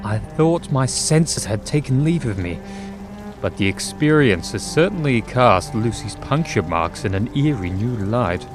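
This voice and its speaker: A young Victorian gentleman, played by a male actor in an audio drama, loving but shaken. His delivery is formal and earnest, with lively swings in pitch and music playing behind him. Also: measured pace, lightly acted. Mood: haunted, earnest. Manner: formal, earnest period phrasing. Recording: music under the dialogue.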